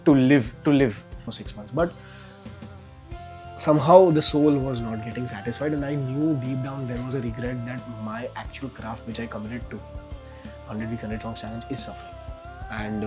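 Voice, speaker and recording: A man from India with an Indian accent, Gujarati his first language, telling his story in a reflective interview and restarting his sentences often. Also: medium pitch. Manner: mixes Hindi and English, restarts sentences often. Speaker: composer and documentary subject. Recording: music bed under the voice.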